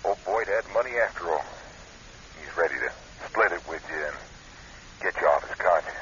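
Radio drama gumshoe: folksy, sly, hesitant, very animated and bright, hissy muffled sound. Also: male voice, low-pitched. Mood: folksy coaxing. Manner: hesitant, folksy, drawn-out delivery. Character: folksy, sly middle-aged male private eye. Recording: hissy, muffled old radio phone call.

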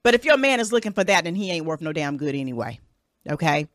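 A woman's voice: on the low side, sing-song, quick pace, no echo, studio quality. A woman in her thirties hosting a solo podcast, giving blunt, profane advice and often tacking "okay?" onto her points. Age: 30s. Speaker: podcast host. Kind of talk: casual advice monologue.

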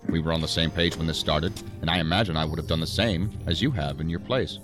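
An actor playing a stern, dry assistant director in a sci-fi audio drama, formal, measured and authoritative. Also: male voice, rumbling low, over music. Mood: stern, controlled. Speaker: senior law-enforcement official.

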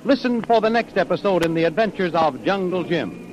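High-pitched vintage American radio announcer, male. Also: lively intonation, brisk. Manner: declamatory, promotional. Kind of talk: 1930s radio announcing, scripted. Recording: dull vintage radio recording with music.

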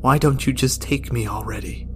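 A man voicing an old dying man, remorseful, bitter, pleading loudly, music playing behind. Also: medium pitch, normal speed, close to an everyday voice. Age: older. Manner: desperate, pleading, shouting.

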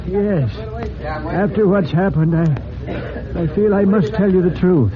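An actor playing a shaken, contrite thief in old-time radio drama, speaking in a halting, meek, apologetic way. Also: male voice, high voice, over music. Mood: contrite, resigned. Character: contrite, shaken rescued male thief, meekly confessing. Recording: dull old radio recording with background clamor.

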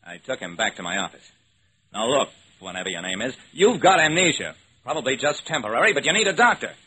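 A man's voice: mid-range voice, big pitch swings, fast, sharp tone, slightly rough, exaggerated voice. An actor playing a wry, level-headed investigator, narrating his case in a clipped, matter-of-fact way in an old-time radio drama. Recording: noisy old radio recording.

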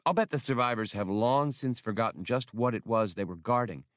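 A man's voice: mid-range voice, a little fast, no room sound, studio quality, close to an everyday voice. A man voicing a plain-spoken female adventurer with a direct, casual manner in an audiobook. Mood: musing.

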